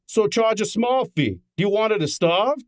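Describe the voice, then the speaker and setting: Lively, higher-voiced brash detective's sidekick, acted by a man. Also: moderate pace, no room sound, clean studio sound. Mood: indignant. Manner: brash, wisecracking, informal. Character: brash, cheeky male detective's assistant. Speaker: actor and narrator in an audio detective drama. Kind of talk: old time radio style acting and narration.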